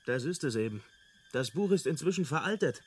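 Actor as a breezy, higher-voiced space hitchhiker. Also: male voice, animated, brisk, slight background noise, close to an everyday voice. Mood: breezy, amused. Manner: nonchalant, explanatory, amused. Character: breezy, unflappable male galactic hitchhiker, experienced field researcher. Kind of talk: radio drama acting.

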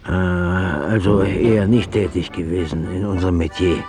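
Male, low: actor as matter-of-fact construction boss, dry and laconic radio-play delivery, music clearly behind. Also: steady tone, normal speed, close to an everyday voice. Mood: businesslike. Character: dry, businesslike male building-site boss. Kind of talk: naturalistic radio drama acting.

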